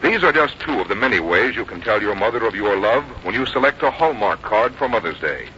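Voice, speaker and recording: A man's voice: fairly low pitch, big pitch swings, a little fast, sharp tone, slight background noise. A man reading scripted announcements and advertising as a 1950s radio announcer. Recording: dull 1950s radio recording.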